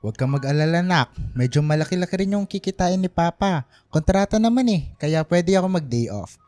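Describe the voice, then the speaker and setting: A man's voice: a little high, expressive, fairly quick, darkish tone, natural voice. Radio drama father, Filipino accent, middle-aged, male actor, playful and affectionate, music underneath. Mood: reassuring. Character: teasing, generous painter father of two. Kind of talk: radio drama acting in casual family talk.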